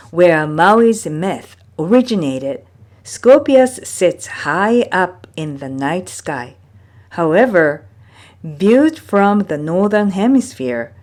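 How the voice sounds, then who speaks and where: A woman reading from a folktale book as a storyteller, with measured, deliberate phrasing. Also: on the low side, a little mellow. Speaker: storyteller, reader. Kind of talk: scripted narration of a folktale book.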